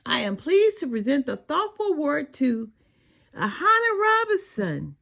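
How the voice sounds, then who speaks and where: A woman narrating a children's storybook in an expressive read-aloud style. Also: high-pitched, a little slow, vocal fry.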